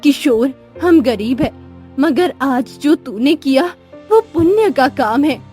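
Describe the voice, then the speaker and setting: A woman acts a poor village wife from a moral storybook. Her high voice is slow, tender and plaintive, with music underneath. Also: expressive. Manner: plaintive, gently reproachful. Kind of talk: acted Hindi moral storybook tale. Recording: music behind the voice.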